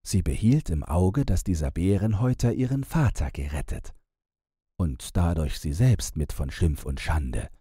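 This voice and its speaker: A man, narrating a bedtime fairy tale in a measured, gentle storytelling manner, close to the microphone. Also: low-pitched, sing-song, husky, no room sound. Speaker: audiobook narrator.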